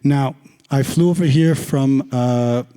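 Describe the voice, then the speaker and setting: A hoarse man with a raspy voice giving a casual, joking conference talk, full of fillers. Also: medium pitch, slow pace, mellow. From Canada. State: hoarse, lost voice. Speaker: conference speaker. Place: large conference hall. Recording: long hall reverb.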